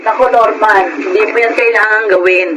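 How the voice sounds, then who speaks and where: A woman's voice: mid-range voice, steady tone, moderate pace, close to an everyday voice. A woman playing a supportive, selfless Filipino wife in a radio drama, reassuring, on a slightly noisy track. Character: Pamela, supportive selfless young wife, sister-in-law.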